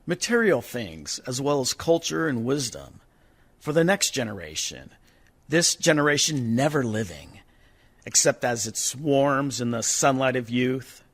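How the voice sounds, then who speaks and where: A man performs a philosophical spirit from a small town in a radio play. He recites verse very slowly, weighing each phrase with earnest, declamatory care. His pitch sits in the middle of the male range. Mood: reflective.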